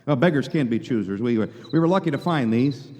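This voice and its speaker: An actor playing a good-natured, awkward young small-town man in a radio play, with a halting, stammering, folksy delivery. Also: mid-range voice, expressive, mellow, a little hiss or hum, lightly acted. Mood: smitten, awkward. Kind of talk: old-time radio play acting. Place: live performance in a hall. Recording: echoey hall, music bed behind.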